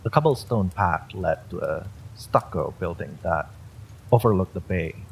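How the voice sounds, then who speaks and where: A man's voice: low voice, lively intonation, unhurried, creaky, a little hiss or hum, poor audio. A man reading a first-person story aloud as a dramatic narrator. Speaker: story reader.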